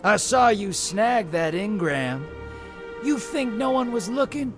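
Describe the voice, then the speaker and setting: Sly folksy game rogue, high-pitched for a man, swinging intonation, drawling, wheedling, faint music behind. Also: animated, slow pace. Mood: sly, playful. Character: sly, folksy rogue gambler and scavenger, male. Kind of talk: video game character acting.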